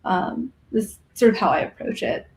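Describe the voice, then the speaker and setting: A woman's voice: on the high side, moderate pace, gravelly, darkish tone, rough recording. A woman guest chatting casually and reflectively, searching for her words as she goes. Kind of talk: casual chat.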